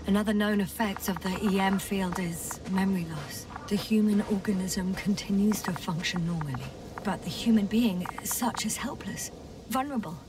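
An actress playing a thoughtful, worried woman scientist exploring space, speaking in a hushed, measured, earnest way in a video game. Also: mid-range voice, far from the mic, noisy background, natural voice. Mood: sad, worried. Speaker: crew scientist. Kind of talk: dramatic game voice acting.

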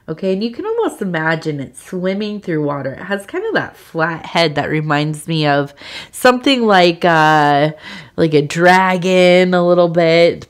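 A woman with a congested cold giving a soft-spoken, meandering tea leaf reading, with frequent fillers. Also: deep voice. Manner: slow, meandering, with many fillers and soft laughs. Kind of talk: soft-spoken ASMR tarot-style reading.

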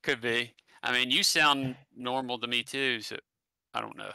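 West virginia guy on a headset call, really bright crisp voice, dry sound, lots of ums and restarts. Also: medium pitch, fairly even intonation, no room sound. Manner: halting, many fillers and restarts. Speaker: guest, paranormal channel creator. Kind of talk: casual conversational interview. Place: home room, indoors. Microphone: headset mic on a video call.

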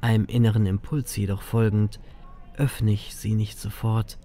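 Man playing a deep, brooding grim reaper narrator. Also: little pitch movement, normal speed, warm tone, close to an everyday voice. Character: grim male ferryman reaper, world-weary, streetwise. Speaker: narrator and lead of an audio drama. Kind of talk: first-person horror audio drama narration.

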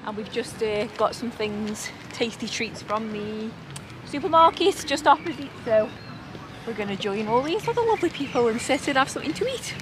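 A woman's voice: on the high side, lively intonation, normal speed. Woman vlogging outdoors, noisy background. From Britain. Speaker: travel vlogger. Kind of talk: casual vlog chat. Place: outdoors in a city park. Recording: noisy outdoor location sound.

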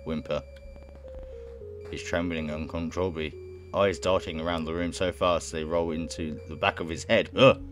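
A young man reading a visual novel aloud in a halting way, with casual reactions of his own. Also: low-pitched, fairly even intonation, music in the background. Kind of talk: let's play, reading game text aloud with casual reactions. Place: indoor room at home.

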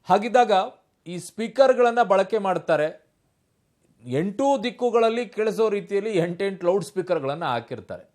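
A man's voice: high-pitched, animated, normal speed, darkish tone. A man with a Kannada accent from Karnataka anchoring live news in an emphatic, questioning way.

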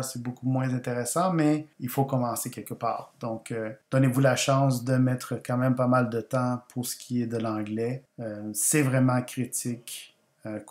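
A man from Guatemala whose first language is Spanish, hosting a language video and explaining things in a measured way, often repeating words for emphasis. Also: mid-range voice, darkish tone, roomy sound. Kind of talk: unscripted explainer over slides.

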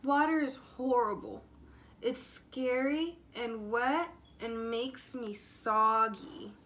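A woman's voice: on the high side, slow pace, fairly bright tone, slight background noise. A woman voicing a gloomy, reluctant young male cat in a storybook, grumbling and complaining dramatically. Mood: grumbling dread.

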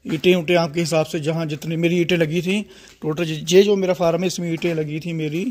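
A man's voice: on the high side, level tone, a little fast, darkish tone. A man with a Punjabi-tinged Hindi accent walking through his poultry shed, explaining in a plain, repetitive way and speaking straight to the viewer, recorded on a phone he carries. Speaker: poultry farmer giving a shed tour. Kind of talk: casual walk-through explanation. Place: large open-sided poultry shed under construction. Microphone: phone camera held while walking.

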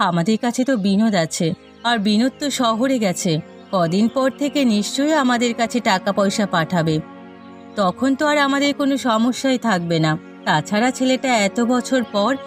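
A woman's voice: fairly high pitch, lightly acted. A woman voicing an anguished, tender village mother with pleading, emotional delivery in a melodramatic moral-story cartoon.

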